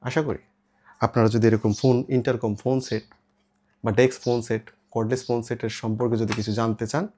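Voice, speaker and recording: A man's voice: medium pitch, level tone, fairly quick, mellow. A man with a Bangladeshi accent gives an informal, step-by-step product demonstration as a seller, mixing in English words. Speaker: shop seller presenting products. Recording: clear background noise with clicks.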